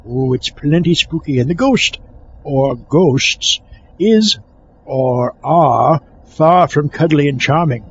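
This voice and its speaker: A man's voice: on the high side, takes it slowly, lo-fi sound, slight background noise. A man playing a pompous, easily exasperated horror film scholar, lecturing in a comic scripted monologue.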